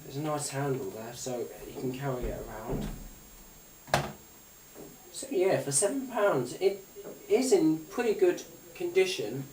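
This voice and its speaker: A roomy, distant recording with faint noise carries a man with a slightly high voice. He talks casually as he demonstrates a gadget, unscripted and hesitant. He rambles and circles back over his own phrases. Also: expressive, measured pace, a little mellow. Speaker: gadget review YouTuber. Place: indoors at a tabletop, away from the mic. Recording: roomy, distant mic, faint hiss and clicks.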